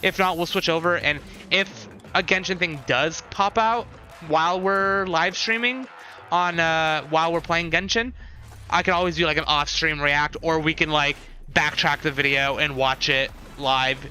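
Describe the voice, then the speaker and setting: A man's voice: fairly high pitch, normal speed, sharp tone. A man in casual live stream chat, thinking aloud and talking with his viewers as he reacts.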